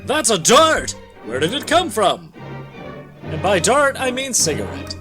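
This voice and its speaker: High-voiced man bantering on a podcast. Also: huge pitch swings, unhurried, music in the background. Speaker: podcast host. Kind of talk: casual comedic commentary.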